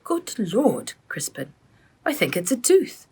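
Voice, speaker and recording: A woman's voice: medium pitch, wildly swooping pitch, takes it slowly, a little mellow, breathy edge, pitched above the performer's own voice, heavily acted. A woman voicing a serious, worried father in a children's storybook, earnest and proper in manner. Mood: shocked.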